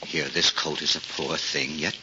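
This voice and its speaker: A pious, gentle old cobbler in a 1940s radio play. His low voice is halting and old-fashioned, pleading softly. The recording is muffled and clearly noisy. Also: little pitch movement. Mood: tender, kindly. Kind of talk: 1940s radio drama acting.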